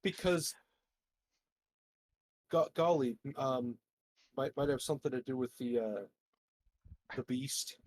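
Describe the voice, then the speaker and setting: A man playing a tabletop game talks slowly and haltingly, stammering into his phrases. There is faint noise behind him. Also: mid-range voice, darkish tone. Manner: hesitant, stammering.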